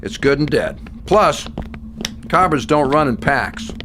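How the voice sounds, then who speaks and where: A man's voice: mid-range voice, big pitch swings, slow pace, background noise. A man playing a seasoned older monster hunter in an audio drama, folksy, teasing and commanding. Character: gruff, seasoned old male monster hunter, sardonic and bossy.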